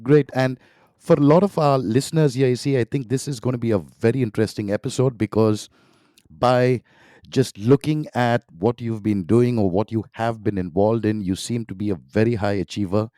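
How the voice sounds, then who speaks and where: A man's voice: medium pitch, fairly even intonation, moderate pace, dark tone, no echo, clean studio sound. A man hosting a podcast, welcoming a guest with an enthusiastic, effusive introduction and asking long questions. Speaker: podcast host.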